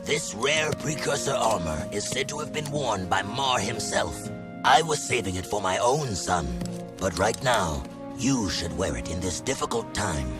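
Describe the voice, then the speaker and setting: Solemn, low-voiced older warrior king. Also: animated, a little slow, music in the background. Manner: stately, earnest. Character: older male desert king, solemn, noble, fatherly. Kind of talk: video game dramatic acting.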